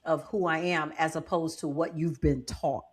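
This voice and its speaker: A woman's voice: low-pitched, fairly even intonation, normal speed, breathy edge. A woman hosting a podcast interview, asking questions in a casual tone and often affirming her guest. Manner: affirming listener, frequent "yeah" and "mm-hmm". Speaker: podcast host, social worker.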